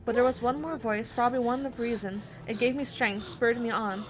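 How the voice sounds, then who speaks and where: A woman's voice: a little high, fairly even intonation, a little fast. A woman game streamer reading a horror visual novel aloud, dramatically, with spontaneous asides. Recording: music behind the voice. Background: game music.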